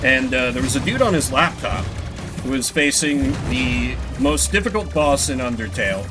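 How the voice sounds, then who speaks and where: A man's voice: mid-range voice, lively intonation, moderate pace, music in the background. A man doing casual live gameplay commentary, rambling in a self-deprecating way with casual swearing. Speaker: video game streamer.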